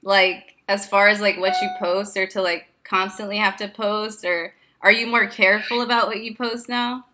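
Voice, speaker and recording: A woman's voice: medium pitch, fairly even intonation, moderate pace, sharp tone. A woman interviewing a guest, asking curious questions and often answering with short backchannel words. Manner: curious, with frequent backchannel "right".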